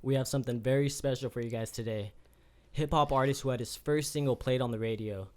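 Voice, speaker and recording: A man's voice: medium pitch, steady tone, quick pace. A man co-hosting a podcast, introducing in a conversational presenter style. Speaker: podcast co-host.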